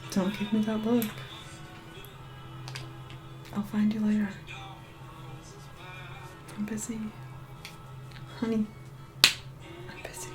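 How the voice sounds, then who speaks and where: A woman plays an immortal vampire woman in an ASMR role-play, speaking mostly in soft whispers. She is haughty and impatient, giving curt, condescending orders as a reluctant helper. Loud music plays behind her voice. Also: mid-range voice, monotone, slow pace, pauses often, lightly acted, rough recording. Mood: annoyed, dismissive.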